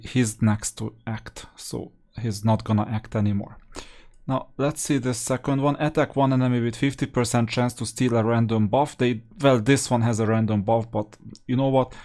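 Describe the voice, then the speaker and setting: A man giving casual gameplay commentary as a guide, explaining his choices and thinking aloud in a halting way. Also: mid-range voice, a little mellow.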